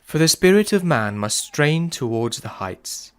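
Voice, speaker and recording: A man giving a solemn scripted reading of philosophical writing, measured and declamatory, leaning firmly on key words. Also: on the high side, sing-song, clear, resonant tone. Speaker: reader.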